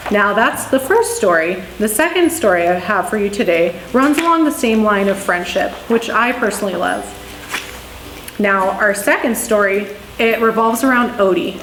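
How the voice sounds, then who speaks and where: A woman reading children's stories aloud in an expressive storytelling way. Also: mid-range voice. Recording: roomy echo, faint background noise.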